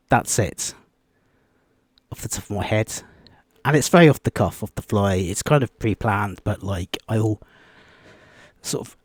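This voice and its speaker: A quiet-voiced man giving a rambling, off-the-cuff Bible study video, with many ums and restarts. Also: medium pitch, no room sound, studio quality. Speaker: Bible teacher.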